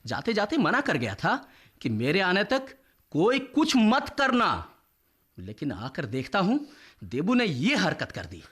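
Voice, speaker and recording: An indignant country doctor in a radio play. His fairly high voice swings widely as he argues bluntly and emphatically. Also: huge pitch swings, takes it slowly, fairly bright tone, breathy edge, exaggerated voice. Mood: indignant, scolding. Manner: argumentative, emphatic. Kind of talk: Hindi radio drama acting.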